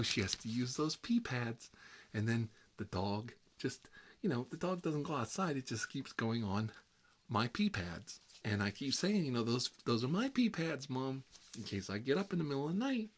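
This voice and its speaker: A man performing a chatty ASMR role-play as a fussy, petty grown man stuck babysitting, rambling on in a gossipy, petulant manner. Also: medium pitch. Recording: clearly noisy recording with clicks.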